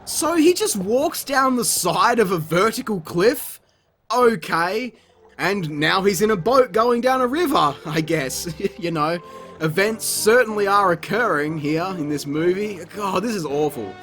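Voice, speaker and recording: Guy doing sweary sarcastic review voiceover, pitch all over the place, bit of music. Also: lively intonation, measured pace, a bit bright. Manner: sarcastic, exasperated. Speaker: video reviewer. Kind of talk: comedic review commentary, sweary.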